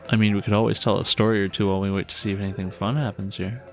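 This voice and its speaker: A man's voice: lowish voice, level tone, racing, close to an everyday voice. A man playing an eerie storyteller swapping spooky tales in an audio drama. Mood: casual, inviting. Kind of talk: audio horror anthology acting. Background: music.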